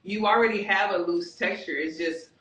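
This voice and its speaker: A woman hair stylist chatting on a podcast in an emphatic, animated and playfully teasing way. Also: medium pitch, normal speed. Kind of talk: casual podcast chat. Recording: roomy distant mic, faint noise.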